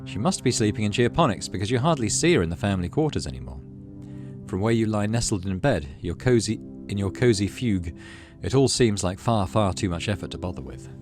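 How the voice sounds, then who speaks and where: A man narrating a story game aloud as a gaming host, expressive and measured, with casual asides. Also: fairly low pitch, over music. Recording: clearly audible background noise.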